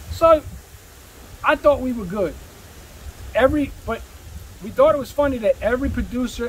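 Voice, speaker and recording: A man's voice: high-pitched, slight background noise, poor audio. A middle-aged man, a rapper telling career stories in a casual, slangy, profane way, repeating phrases for emphasis. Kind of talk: casual storytelling chat.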